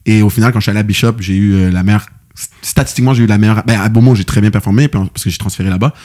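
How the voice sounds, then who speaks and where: A man's voice: on the low side, darkish tone. A young man with a Québécois French accent, a football player telling stories as a guest in a casual podcast chat, loose and full of fillers and slang. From Quebec, Canada. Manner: quick, loose storytelling with many fillers and slang.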